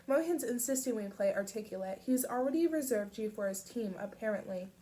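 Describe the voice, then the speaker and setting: A woman voicing a bright, high-voiced, chatty mother who fusses fondly over her son. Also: animated, brisk, close to an everyday voice.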